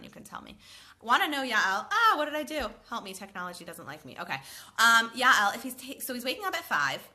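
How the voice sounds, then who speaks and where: A woman in her thirties with a bright voice and a slightly stuffy, cold-struck sound. She talks in a chatty, upbeat way, calling out viewers by name as she answers parents' questions live. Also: medium pitch, gravelly. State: congested from a cold, sniffling. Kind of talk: live Q&A, reading comments aloud.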